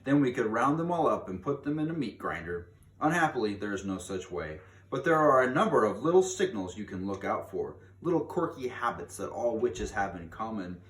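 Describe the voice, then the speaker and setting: Male storyteller for children's read-aloud, lowish voice, big swings in pitch, theatrical emphasis; recorded at a distance from the mic. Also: very animated. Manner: theatrical, emphatic, drawn-out key words. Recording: distant mic, echoey room, background noise.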